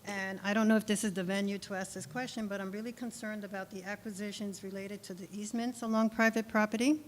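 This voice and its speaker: A woman's voice: medium pitch, fairly even intonation. Concerned woman testifying in a hall. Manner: concerned, questioning, a little halting. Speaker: long-term local resident giving public testimony. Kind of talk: public hearing testimony. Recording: echoey hall, faint noise.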